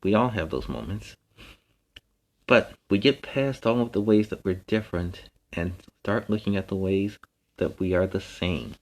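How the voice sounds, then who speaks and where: A man takes on the role of a friendly, earnest superhero hosting an online story time for children. His voice sits fairly low. He explains things gently and informally, like a mentor talking to young viewers. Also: exaggerated voice. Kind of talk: informal talk to an online audience.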